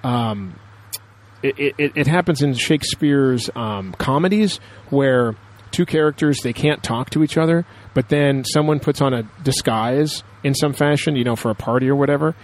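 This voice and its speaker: Male podcast co-host, mid-pitched, casual and enthusiastic, rambling through analysis with frequent asides. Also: normal speed. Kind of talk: casual TV episode discussion.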